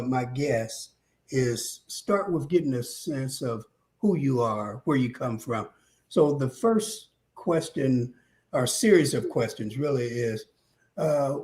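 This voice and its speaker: A man hosting an interview, asking measured, formal questions. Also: on the high side, a little mellow. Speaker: interview host.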